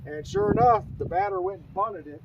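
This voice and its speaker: A man's voice: high voice, fairly quick. A man hosting a vlog outdoors, casually chatting and retelling family stories in a rambling, colloquial way. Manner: chatting while fishing. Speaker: vlog host. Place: outdoors on a windy riverbank. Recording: muffled, far from mic, heavy wind noise.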